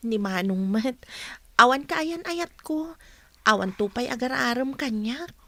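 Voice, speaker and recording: A shy, lonely young office woman with a soft, modest way of talking. Her voice sits a little high and is recorded dry and close, as in a studio radio play. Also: lively intonation, unhurried, dry recording, exaggerated voice. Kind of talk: radio drama acting.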